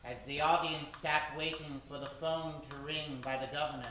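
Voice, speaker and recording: A man narrating an old time radio drama as host, theatrical and suspenseful with halting phrasing. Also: on the high side, little pitch movement, sharp tone. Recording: very echoey hall, clear background noise.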